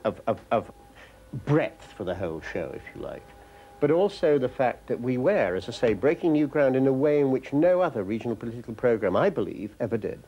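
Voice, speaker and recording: A man reflects in an interview, his pitch moving in lively swings. He stresses points emphatically, then lets tag phrases drop away quietly. There is faint noise behind him. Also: brisk, dull audio. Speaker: political correspondent being interviewed. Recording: clear background noise.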